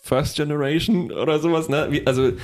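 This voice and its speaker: A young man from Poland, whose first language is Polish, talking in a relaxed, thoughtful conversation with many pauses and fillers. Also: fairly high pitch. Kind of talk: casual conversation.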